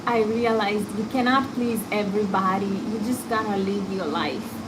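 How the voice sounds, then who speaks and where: A woman's voice: medium pitch, normal speed. A woman with an African accent, talking casually and reflectively as a podcast host. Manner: candid, reflective, talks to a guest and listeners. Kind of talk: casual chat. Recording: steady background noise.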